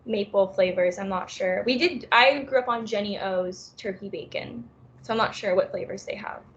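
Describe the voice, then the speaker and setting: A young woman presents on a video call, chatty and full of fillers. Her pitch sits in the middle range. The call audio sounds a little dull. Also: normal speed, fairly bright tone, a little hiss or hum. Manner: chatty, filler-heavy, laughs often. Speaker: wellness presenter. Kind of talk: casual health Q&A on video call.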